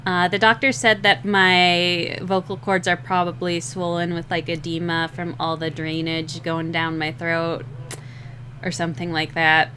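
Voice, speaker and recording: A young woman with a slightly rough, scratchy voice, rambling and laughing at herself in a casual chat while drawing, short on sleep. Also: lowish voice, little pitch movement, a little slow, bright, forceful tone. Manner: rambling, giggly, self-deprecating. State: sleep-deprived. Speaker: digital artist streaming a drawing session.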